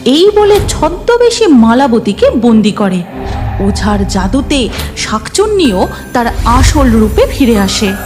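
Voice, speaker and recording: A woman narrates a cartoon tale with dramatic delivery. Her voice is high and very lively, with clear music underneath. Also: slow. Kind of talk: dramatic scripted cartoon storytelling.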